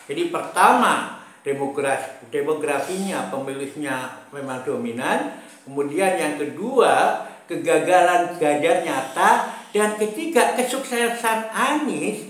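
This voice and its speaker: A man from Central Java talks through a political commentary slowly and unhurriedly, stopping often to search for words and repeating his points. His voice sits a little high for a man. It rings around a strongly echoing room. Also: expressive, fairly bright tone. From Brebes, Central Java. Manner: slow, hesitant, many fillers and repetitions, chuckles often. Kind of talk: casual political monologue.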